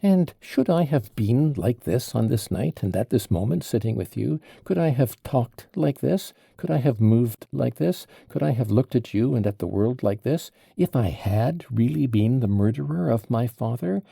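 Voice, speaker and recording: A man voicing a desperate, furious young man under arrest, in a gravelly, dark voice. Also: mid-range voice, animated, normal speed, few pauses, no echo, clean studio sound, voice pushed up, full character voice. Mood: anguished.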